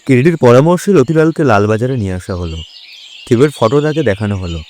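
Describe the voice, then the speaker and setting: A man's voice: mid-range voice, lively intonation, fairly quick, darkish tone. A man narrating a scripted detective story.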